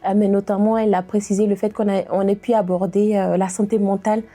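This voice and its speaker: A woman in her thirties from Côte d'Ivoire, a diversity and inclusion trainer, giving a testimony with frequent pauses and hesitations. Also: mid-range voice, flat delivery, dark tone. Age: 30s. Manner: hesitant, many pauses and "euh" fillers. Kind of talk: interview testimony to camera.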